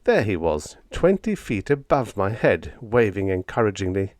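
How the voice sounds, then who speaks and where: A man's voice: lowish voice, big pitch swings, moderate pace, darkish tone, no echo. A man reading a story aloud as an audiobook narrator, in a dramatic scripted style.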